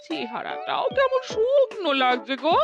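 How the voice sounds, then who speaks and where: A woman's voice: very high voice, very animated, normal speed, lo-fi sound, over loud music, exaggerated voice. A woman playing a doting, bossy middle-aged wife and mother-in-law in a cartoon, fussing and emphatic. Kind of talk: cartoon story acting.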